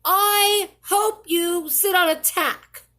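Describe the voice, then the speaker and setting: A woman's voice: very high-pitched, steady tone, drawn-out words, sharp tone, voice pushed up, heavily acted. A woman voicing an indignant, dramatic little boy, complaining emphatically in a children's storybook read-aloud. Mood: spiteful. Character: grumpy, indignant, dramatic little boy telling his bad day.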